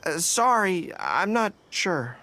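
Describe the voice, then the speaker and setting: A woman's voice: lowish voice, very animated, slow pace, sharp tone, a little hiss or hum, full character voice. A woman voicing an earnest, polite, easily flustered young male dog-eared general in a video game. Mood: polite, puzzled. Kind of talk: English video game voice acting. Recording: music under the voice. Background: game music.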